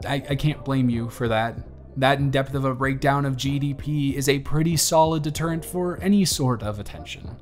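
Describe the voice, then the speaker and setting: A man's voice: mid-range voice, natural voice. Man acting a wry, awkward young college guy. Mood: earnest, sheepish. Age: young adult. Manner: dry, teasing, hesitant, casual. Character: wry, awkward, kind male college student chatting with crush. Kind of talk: intimate scripted roleplay audio, conversational.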